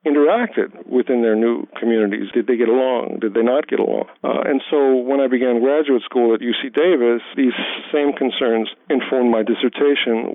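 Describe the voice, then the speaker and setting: A middle-aged man whose first language is German answers in a measured, hesitant way, often repeating words. His voice comes through a thin, phone-like line with no room echo. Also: mid-range voice. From Germany, raised in Hawaii. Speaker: history professor guest. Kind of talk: interview answers. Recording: tinny phone-like line, dry.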